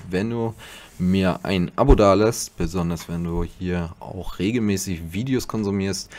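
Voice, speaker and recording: A man's voice: low-pitched, darkish tone, a little hiss or hum. A man giving a casual tutorial commentary as host, speaking into a desktop USB microphone.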